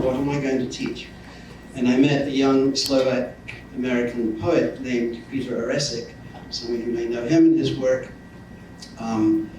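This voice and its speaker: An older man from the north of England with an English accent, a retired lecturer giving an informal, halting, reflective public talk. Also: mid-range voice, a little mellow. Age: 60s or older. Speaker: retired university lecturer, guest speaker. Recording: very echoey, distant mic, faint noise.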